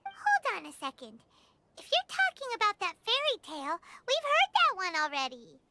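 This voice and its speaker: A woman's voice: huge pitch swings, moderate pace, background noise, full character voice. A woman voicing a tiny, childlike fairy companion in a video game, curious and blunt in manner. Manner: squeaky, inquisitive, speaks of herself in third person. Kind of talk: cartoonish video game voice acting.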